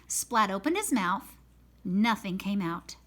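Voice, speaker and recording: A woman reading a children's picture book aloud in a slow, expressive storytelling manner. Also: medium pitch. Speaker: storybook reader. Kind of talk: children's read-aloud narration.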